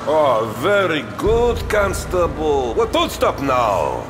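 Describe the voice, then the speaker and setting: An actor playing a gloating, cruel doctor who commands the undead, speaking with mocking, theatrical menace in an audio drama. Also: male voice, a little high, wildly swooping pitch, takes it slowly, exaggerated voice. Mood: smug mockery. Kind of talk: full-cast audio drama acting. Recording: dramatic music behind.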